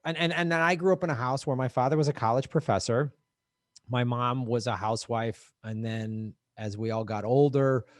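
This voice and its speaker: Brief: middle-aged Midwestern guy, lively storyteller, laughs a lot, close dry mic. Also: mid-range voice, no room sound. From American Midwest. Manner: animated storyteller, laughs often, acts out voices. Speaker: podcast co-host, touring comedian. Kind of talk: casual chat.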